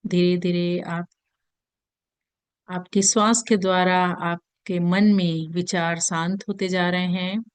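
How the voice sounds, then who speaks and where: A woman with an Indian accent leads a guided meditation in a gentle, soothing manner with long pauses. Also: lowish voice, flat delivery, clear, resonant tone, dry recording. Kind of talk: guided meditation in Hindi mixed with English.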